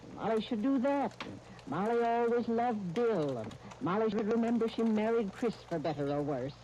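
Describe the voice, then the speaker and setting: Mellow homespun American aunt played by a woman. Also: fairly high pitch, lively intonation, normal speed, lightly acted, rough recording. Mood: sympathetic. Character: kindly, homespun small-town aunt who tells stories and shares recipes. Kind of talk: 1930s radio, folksy sponsor chat. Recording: hissy 1939 radio broadcast disc.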